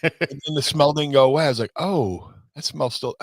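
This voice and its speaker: A man's voice: fairly high pitch, a little fast, no echo. A man, co-hosting a casual, profane chat and rambling with restarts. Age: 50s. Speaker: co-host.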